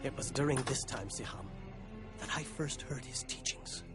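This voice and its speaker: A man playing a devout storyteller recounting his past as narrator of an animated film. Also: medium pitch, normal speed, lightly acted. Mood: reflective. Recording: loud music behind the voice.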